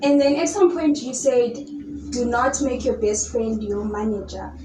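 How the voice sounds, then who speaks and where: A woman's voice: on the high side, unhurried, music in the background. A young woman hosting an interview, asking curious, friendly questions. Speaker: interviewer host. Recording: echoey room with background noise.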